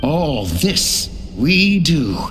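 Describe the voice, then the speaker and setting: A man playing a solemn, driven researcher dictating log entries in a video game, with a deliberate, theatrical delivery. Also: a little high, very animated, fairly bright tone, soft background music, full character voice. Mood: solemn, fervent. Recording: long reverb, faint noise.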